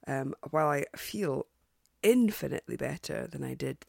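A woman hosts her podcast while sounding congested and croaky from a cold, her voice gravelly. She talks in a chatty, hesitant way, full of ums and restarts. The recording is dry and close. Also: low-pitched, lively intonation, moderate pace, darkish tone, dry recording. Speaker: podcast host. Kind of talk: casual podcast sign-off.

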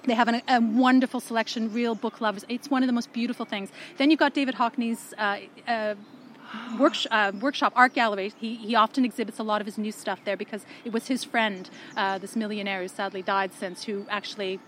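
Fast-talking Canadian woman, fairly high voice. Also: fairly even intonation, a bit bright, a little hiss or hum. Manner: enthusiastic storytelling, fillers and restarts. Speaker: guest. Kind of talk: casual conversational interview. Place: outdoors beside a canal.

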